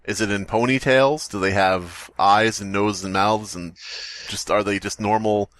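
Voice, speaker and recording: Low-voiced man in casual podcast chat. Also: steady tone, measured pace, a bit bright, no room sound. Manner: rambling, swears casually. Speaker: podcast co-host.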